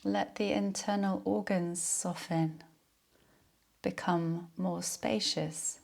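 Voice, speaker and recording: A woman leading a guided yoga nidra relaxation in a soothing, unhurried, soft-spoken way. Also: lowish voice, fairly even intonation, gravelly.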